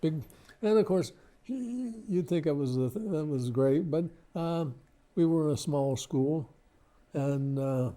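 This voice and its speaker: An older man, reminiscing casually as an interview guest in a halting, modest, rambling way. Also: a little high, warm tone. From Lebanon, Ohio, United States.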